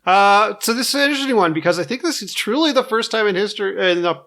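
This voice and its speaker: A man's voice: high voice, animated, quick pace, sharp tone. A man co-hosting a podcast in casual comedic chat, with a dry, matter-of-fact manner. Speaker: podcast co-host and judge. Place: in-person table recording.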